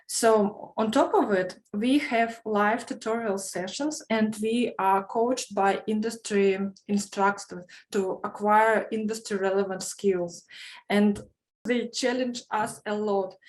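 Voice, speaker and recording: A woman's voice: mid-range voice, a little mellow, low-quality recording. A woman in her forties from Kazakhstan, speaking, telling her career story as a trainee in a careful, slightly formal way with small grammar slips. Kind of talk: prepared personal testimonial.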